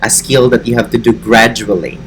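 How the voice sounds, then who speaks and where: A man's voice: medium pitch, a little fast, faint music behind, rough recording. A man with a Filipino accent, an online English teacher talking earnestly and encouragingly about his lessons. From Philippines. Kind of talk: casual teaching vlog.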